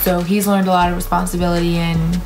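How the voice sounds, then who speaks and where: Chatty young woman, low voice. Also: little pitch movement, fast talker, a little mellow, soft background music. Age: 20s. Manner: candid, teasing, says "like" a lot. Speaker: vlogger, young mother. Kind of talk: casual couple Q&A chat.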